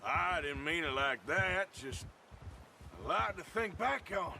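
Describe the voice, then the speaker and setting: A man playing a weary, gruff American outlaw with a dry, sardonic drawl in a video game cutscene. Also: on the high side, animated, slow pace, bright, forceful tone, a little hiss or hum, exaggerated voice.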